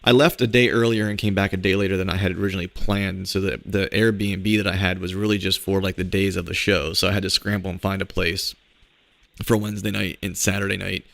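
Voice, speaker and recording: Male podcast host, hoarse, very deep and gravelly, laid-back storyteller. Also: little pitch movement, fairly quick, fairly bright tone, no room sound. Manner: laid-back, rambling storyteller with filler words. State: hoarse from a cold. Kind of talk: casual podcast chat.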